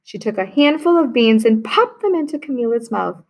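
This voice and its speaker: A woman reading a children's picture book aloud in a lively, expressive way. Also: a little high, normal speed. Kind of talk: read-aloud storybook narration.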